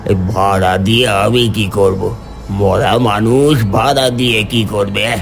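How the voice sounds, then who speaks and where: A grim undead cart driver, speaking in a low, gravelly voice. He sounds grave and menacing, a horror cartoon figure with music underneath. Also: fairly even intonation, takes it slowly. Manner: grave, ominous. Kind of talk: animated horror story acting.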